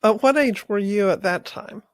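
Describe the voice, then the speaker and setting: A woman's voice: medium pitch, moderate pace, no room sound. A woman hosting a podcast, introducing her guest and asking questions in a friendly manner. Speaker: podcast host.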